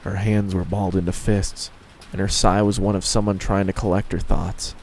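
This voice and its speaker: A man's voice: low voice, level tone, normal speed, darkish tone. A man reading scripted fan fiction narration as the storyteller.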